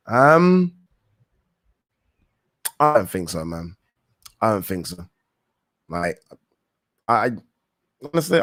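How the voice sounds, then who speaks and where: A man's voice: on the low side, no echo. A young man hosting a live boxing talk stream, reading viewer questions aloud and answering in a rambling, thinking-aloud way with casual swearing. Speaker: boxing talk show host.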